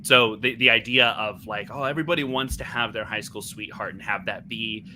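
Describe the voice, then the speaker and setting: A man's voice: on the low side, a little fast, background noise. A man with a youthful-sounding voice, speaking reflectively as a voice actor on a casual video-call panel. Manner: reflective, earnest, with restarts.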